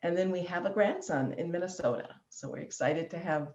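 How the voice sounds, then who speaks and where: Low-voiced woman in a roomy room. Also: brisk. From Illinois, USA. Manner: warm chatty, laughing easily, filler ums. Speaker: guest. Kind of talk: online interview. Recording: roomy, dull lo-fi sound.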